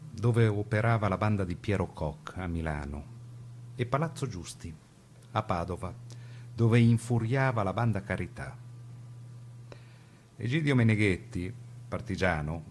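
Male narrator, lowish pitch, grave, measured, scripted radio documentary style, slight noise and hum.

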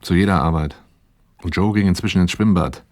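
A man's voice: low-pitched, fairly quick, darkish tone, no room sound, clean studio sound, lightly acted. An actor playing a troubled, dutiful working man in a radio play, recounting his life to his therapist in a matter-of-fact, reflective way. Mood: resigned bitterness. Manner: matter-of-fact, reflective, acting out remembered dialogue. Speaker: protagonist and first-person narrator of a radio play. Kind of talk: 1980s German radio play acting, confessional monologue.

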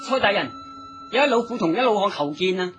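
An actor playing a timid, deferential servant in a Cantonese radio drama, speaking in a hesitant, subservient way. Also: male voice, high-pitched, expressive, quick pace, dull audio, loud music behind the voice. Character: timid, deferential male servant announcing visitors.

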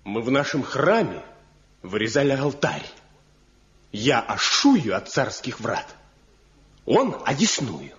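An actor playing a proud, stubborn master woodcarver from a mountain village in a radio drama, speaking deliberately in folksy, declamatory phrases. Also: male voice, mid-range voice, very animated, fairly bright tone, breathy edge, exaggerated voice. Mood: reminiscing, wry. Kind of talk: Soviet radio drama acting. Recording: muffled 1970 radio play recording.

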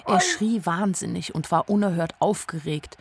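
A woman's voice: lowish voice, very animated, normal speed, heavy background noise. An actress playing a naive young woman who tells her story candidly and wryly in a radio play. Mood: candid storytelling. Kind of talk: radio play first-person narration.

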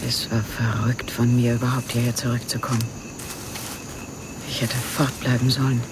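An actress playing a middle-aged grieving widow in a radio crime drama, brooding and halting as she drifts into memories. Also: rumbling low, level tone. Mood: brooding, wistful. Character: world-weary grieving widow, haunted by memories, self-pitying. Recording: noisy track with outdoor ambience.

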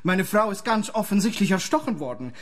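A nervous defensive lawyer. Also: male voice, high voice, big pitch swings, a little fast, fairly bright tone. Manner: defensive, indignant.

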